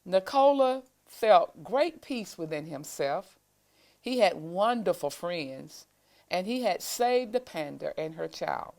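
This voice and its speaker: Slow, creaky woman reading a storybook. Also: fairly low pitch. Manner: deliberate, expressive phrasing with pauses to show pictures. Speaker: school staff member reading aloud to children. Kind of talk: children's storybook read-aloud.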